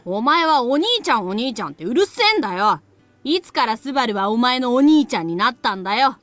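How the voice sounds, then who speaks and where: A woman voicing a brash, irritable young boy who talks roughly in a Japanese visual novel. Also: fairly high pitch, animated, rapid-fire, a bit bright, no room sound, soft background music. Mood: irritated, scornful.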